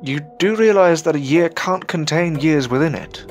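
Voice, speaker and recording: An actor playing an earnest Victorian gentleman warlock in an audio drama, formal and questioning. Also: on the high side, normal speed, faint music behind, lightly acted. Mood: puzzled, polite.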